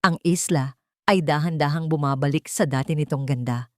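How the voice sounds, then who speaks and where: A woman's voice: low voice, moderate pace, a little mellow, dry recording, clean studio sound. A woman with a Filipino accent reading scripted, dramatic story narration as an audiobook narrator.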